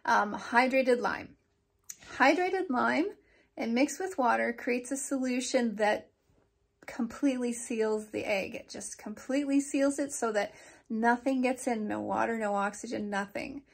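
A woman's voice: a little high, a little slow, gravelly. A woman in her forties chatting casually to her phone camera for a daily vlog, rambling with fillers as she walks outdoors and sits in a home office. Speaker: vlogger. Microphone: phone held at arm's length.

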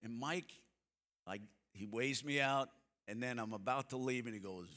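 A man in his seventies giving a church seminar talk, a folksy, animated storyteller who acts out the people in his stories. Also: medium pitch, moderate pace. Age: 70s. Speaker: seminar speaker. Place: church sanctuary stage, seated. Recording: long hall reverb from the sanctuary.

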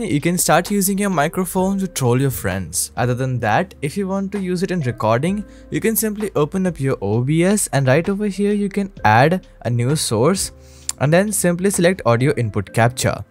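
A man narrating a scripted software tutorial as an upbeat, instructional YouTube host who addresses viewers directly. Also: on the high side. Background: background music.

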